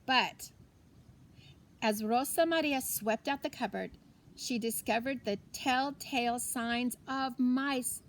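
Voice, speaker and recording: A woman narrating a children's storybook aloud in a deliberate, expressive way, with pauses for effect. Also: fairly high pitch, slight background noise. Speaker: teacher reading a story aloud.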